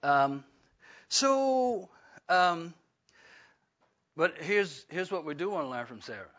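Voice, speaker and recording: A man's voice: fairly high pitch, animated, slow pace, fairly bright tone. An older man, preaching a folksy Bible-study sermon full of fillers and restarts. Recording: dull lo-fi recording.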